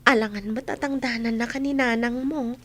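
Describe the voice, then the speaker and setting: A woman plays a hardworking young masseuse with a fairly high voice. She is chatty, earnest and hopeful, with an Ilocano accent, in the manner of a village radio comedy. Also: fairly even intonation, unhurried, a bit bright, slight background noise. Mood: friendly, teasing. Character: hardworking, hopeful young massage clinic owner. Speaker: radio drama performer. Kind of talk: comedy radio drama acting.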